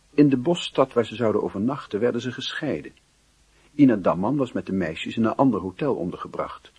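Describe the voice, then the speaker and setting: A man narrating a wistful literary radio drama as a reflective, measured storyteller with a husky, raspy voice. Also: lowish voice, darkish tone, no echo.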